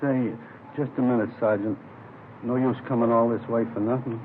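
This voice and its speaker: A man's voice: mid-range voice, fairly even intonation, normal speed, lightly acted. An American man playing a chatty, easygoing small-time police informant in a 1950s radio crime drama, folksy and rambling. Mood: wistful, wheedling. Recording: old radio, phone-like band, faint noise.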